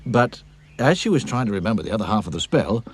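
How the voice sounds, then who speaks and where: A man narrating a children's cartoon story in an expressive storybook style. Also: on the low side, quick pace, breathy edge.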